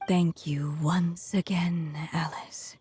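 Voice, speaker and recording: A gentle, fading witch from a video game. Her voice is low and mellow, taken slowly and tenderly. Soft music lies faintly behind. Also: steady tone. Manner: measured, tender, restrained. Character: gentle, fading witch storyteller, calm and resigned. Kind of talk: video game character acting.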